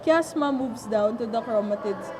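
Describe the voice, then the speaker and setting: A fairly high-voiced doctor played by a woman explains in a halting, teasing way, as if a little reluctant. It is an amateur puppet show recorded with clear background noise. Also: close to an everyday voice. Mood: instructive. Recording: noisy amateur room recording.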